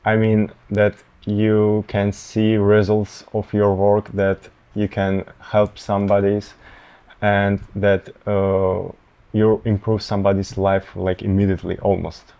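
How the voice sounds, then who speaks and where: A young man in his twenties with a Russian accent, a medical student answering interview questions haltingly, often pausing to find words. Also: lowish voice, monotone, vocal fry, mellow, clean tone, muffled recording. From Tatarstan, Russia. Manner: halting, searching for words, many fillers.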